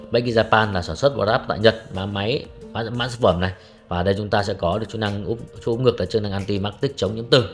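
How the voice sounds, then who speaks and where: A young man talks quickly through a watch review in a low voice. His delivery is chatty salesman patter aimed at his viewers, with faint music playing underneath. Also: fairly bright tone. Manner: brisk salesman patter, calls viewers "brothers". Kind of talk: product review with close-up narration.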